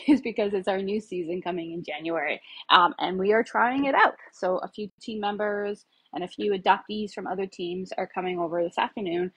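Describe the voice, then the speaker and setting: Thirties woman vlogging in her kitchen, slightly breathy, loose and chatty with fillers, phone on the counter. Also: medium pitch. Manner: chatty, rambling, many fillers. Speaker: home cook vlogger. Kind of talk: casual cooking demo. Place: home kitchen, indoors. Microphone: phone camera on the counter.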